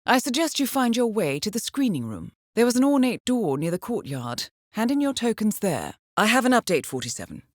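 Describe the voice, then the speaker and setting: A woman voicing a composed middle-aged intelligence handler in a video game, measured and formal with dry understatement. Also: a little high, big pitch swings, no room sound. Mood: measured, businesslike. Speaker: mission handler. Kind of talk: scripted game voice acting, mission briefing.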